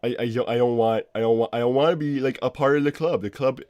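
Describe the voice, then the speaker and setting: A man playing an awkward, exasperated cartoon animal inventor, co-hosting an in-character livestream in halting, repetitive chat. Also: medium pitch, steady tone, darkish tone, dry recording, dull audio, natural voice. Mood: bitter, defensive. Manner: halting, stammering, repeats himself. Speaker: livestream co-host.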